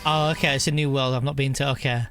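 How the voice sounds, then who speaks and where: A man's voice: mid-range voice, steady tone, quick pace, a bit bright, faint music behind. A man streamer giving casual live reaction commentary, musing and thinking aloud into a close mic.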